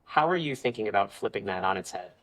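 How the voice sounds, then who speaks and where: Low-voiced man hosting a podcast. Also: expressive, fast talker. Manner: complimentary, guiding the conversation. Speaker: podcast host, interviewer. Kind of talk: podcast interview.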